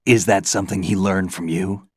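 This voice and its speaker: A man's voice: low-pitched, steady tone, moderate pace, darkish tone, slightly rough, dry recording. An actor playing a seasoned male soldier who talks in a dry, laconic way in a game cutscene. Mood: dry gruff. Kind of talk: video game cutscene dialogue.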